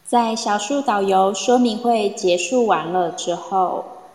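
A woman playing a calm, measured storyteller narrating a radio drama, recorded with echoey reverb. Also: medium pitch, natural voice.

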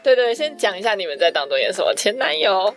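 A woman's voice: medium pitch, very animated, quick pace, creaky. A woman hosting a casual group chat among voice actors. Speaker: host, voice actor. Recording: music behind the voice. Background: music.

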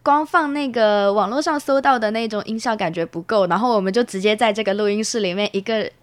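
Young woman, student guest, medium pitch, casual explanatory chat, very dry studio-like sound. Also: fast, no room sound. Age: young adult.

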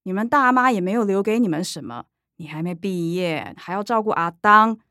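A woman's voice: medium pitch, brisk, sharp tone, no room sound, clean studio sound, close to an everyday voice. A woman as a coaxing, exasperated Taiwanese mother. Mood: placating. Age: middle-aged. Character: middle-aged mother, coaxing with daughters, exasperated with grandma. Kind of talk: radio drama acting.

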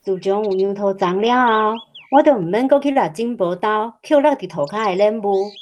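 A woman's voice: medium pitch, normal speed, darkish tone, natural voice. A woman acting a mother with a Taiwanese accent who fondly recalls her poor country childhood in a radio drama. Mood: fond, animated. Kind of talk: radio drama storytelling in dialogue.

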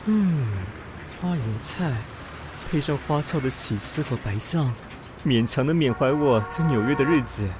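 Melodramatic, fussy city snob, wildly swooping. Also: male voice, on the high side, expressive, a little slow, background noise. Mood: musing, smitten. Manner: melodramatic, sarcastic, swinging from muttering to shrieking. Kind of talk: radio drama acting with inner monologue.

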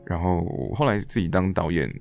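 A man's voice: deep voice, normal speed, creaky, a little mellow, soft background music. A man with a Taiwanese Mandarin accent, talking earnestly and reflectively as a director and actor being interviewed. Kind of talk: reflective casual interview chat.

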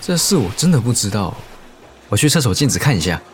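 Actor as playful, excitable young man for audio drama. Teasing, easily flustered, swooping intonation. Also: medium pitch, quick pace, faint music behind, close to an everyday voice. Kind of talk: Mandarin audio romance drama acting.

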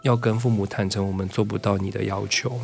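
A man's voice: on the low side, fairly even intonation, a little fast, vocal fry, a little mellow, faint music behind. A man with a Taiwanese accent talking reflectively and frankly as an interview guest, explaining his thoughts point by point.

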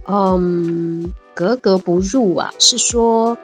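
A woman's voice: mid-range voice, very slow, slightly rough, soft background music. A woman, talking reflectively as an interview guest and laughing easily. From Taiwan. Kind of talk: casual interview chat.

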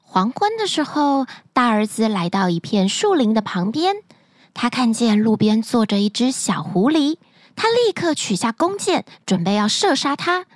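A woman narrating a children's bedtime fairy tale in an expressive, sing-song storytelling manner, recorded close to the mic. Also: a little high, moderate pace, no echo.

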